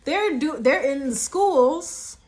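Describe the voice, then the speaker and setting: A woman minister with a slightly raspy, deep voice, teaching a devotional message that moves from hushed to emphatic. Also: lively intonation, slow pace. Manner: soft, hushed delivery that builds to emphatic preaching.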